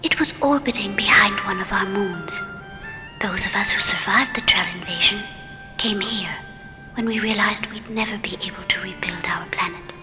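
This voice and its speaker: Lady doing mystical alien woman, radio scifi, hushed breathy, solemn n serene, big reverb, music. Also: medium pitch, close to an everyday voice. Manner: solemn, measured. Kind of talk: old-time radio science fiction acting. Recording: long hall reverb, music behind.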